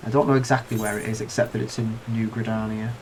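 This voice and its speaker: A man's voice: on the low side, flat delivery, fast talker, darkish tone, a little hiss or hum. A man hosting a casual game playthrough, sounding nasal and subdued from a bad cold, with a wry, self-deprecating manner. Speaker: gaming video host. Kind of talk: casual let's-play commentary.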